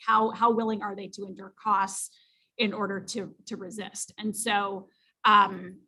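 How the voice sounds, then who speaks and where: A woman's voice: mid-range voice, a bit bright, husky, low-quality recording. A woman answering questions at an academic seminar, talking conversationally and filling her sentences with "you know" and "like". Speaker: seminar speaker.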